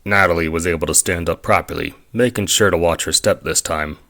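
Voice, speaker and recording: A man's voice: deep voice, fairly even intonation, brisk, gravelly, fairly bright tone. A man narrating an audio drama in the third person.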